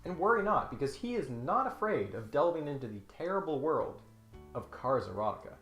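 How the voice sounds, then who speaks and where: A man's voice: a little high, very animated, fairly quick, a bit bright. A man delivering scripted comedic commentary in an emphatic, mock-serious way. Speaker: video essay host. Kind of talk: scripted comedic commentary with dramatic read-aloud passages. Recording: roomy echo, music behind.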